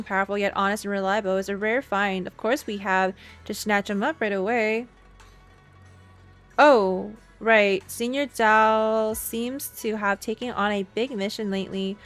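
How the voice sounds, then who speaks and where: A woman streamer giving casual live reactions to a game and reading its story text aloud. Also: medium pitch. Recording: faint game music behind.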